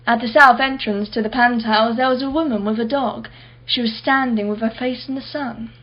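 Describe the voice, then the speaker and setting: Actress as high-voiced imperious wealthy woman, slightly muffled. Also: steady tone, brisk, natural voice. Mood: guarded, recounting. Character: imperious wealthy businesswoman, guarded and impatient, slowly reflective. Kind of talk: radio play acting.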